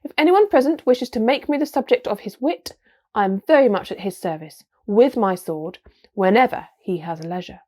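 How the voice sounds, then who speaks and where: A woman voicing a tiny, chivalrous male mouse warrior, shrill, courtly and proud, in a storybook reading. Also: lively intonation, normal speed, darkish tone, close to an everyday voice. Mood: courtly, prickly. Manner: courtly, formal, touchy about honour.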